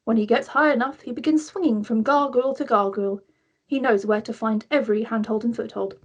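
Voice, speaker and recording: A woman narrates screenplay directions at a table read. Her voice is a little high with a breathy, husky grain, and she reads in a scripted storytelling style. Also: brisk.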